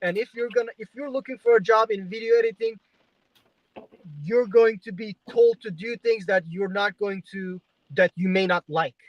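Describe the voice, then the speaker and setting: A man's voice: high-pitched, moderate pace, no room sound. Young man from Turkey, dull dry sound. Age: 20s. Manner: conversational storytelling with frequent fillers. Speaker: guest journalist. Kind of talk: livestream interview. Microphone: video call.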